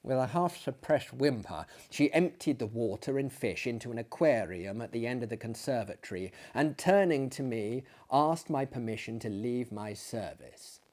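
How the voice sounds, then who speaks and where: Male narrator, medium pitch, theatrical storytelling delivery with hushed phrase endings. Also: animated, moderate pace, hardly any pauses. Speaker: narrator and performer. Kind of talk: dramatic audiobook narration.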